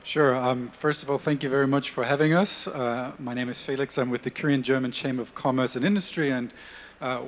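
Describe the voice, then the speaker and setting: A man's voice: mid-range voice, steady tone, a little fast, slight background noise. A man speaking formally as a panelist for a business chamber. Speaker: panelist, chamber of commerce official. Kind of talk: conference panel answer. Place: conference stage.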